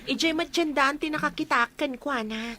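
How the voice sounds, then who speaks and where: A woman with an Ilocano Filipino accent playing an excitable, pert young village woman in a comedy radio drama. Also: high-pitched, a little fast. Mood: gossipy.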